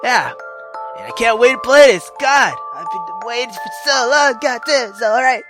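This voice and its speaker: A man's voice: very high voice, animated, normal speed, music in the background. A man hosting a casual gameplay video, rambling with lots of fillers and casual swearing. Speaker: gaming channel host.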